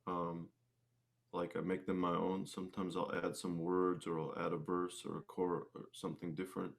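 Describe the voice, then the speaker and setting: A man answering questions casually as an interview guest, often halting and rephrasing his thoughts midway. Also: low-pitched, flat delivery, vocal fry. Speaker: interview guest, singer-musician.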